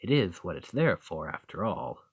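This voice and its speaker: A man's voice: sits low, very animated, normal speed, background noise. A man playing a roguish bandit leader in an audio roleplay, confident and wry, with a folksy, teasing, drawling manner. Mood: amused, conspiratorial. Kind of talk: scripted roleplay acting addressed to the listener.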